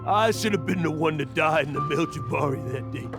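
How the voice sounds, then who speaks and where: A man voicing a boastful adventurer hiding deep guilt, in a game cutscene with music behind. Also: fairly quick, lightly acted. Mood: grieving. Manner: swaggering bluster that cracks into grief. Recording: game soundtrack playing behind.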